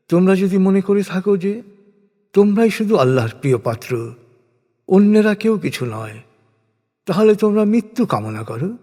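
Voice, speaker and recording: Higher-pitched man reciting in long reverb. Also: dark tone. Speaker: reciter of scripture translation. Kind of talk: solemn scripted scripture narration. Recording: long reverberant hall-like echo.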